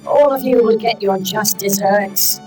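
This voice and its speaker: A man's voice: sits high, measured pace, darkish tone, soft background music, pitched above the performer's own voice, low-quality recording. A man voicing a talking handbag that scolds everyone in a lofty, reproachful tone in an audiobook. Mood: stern.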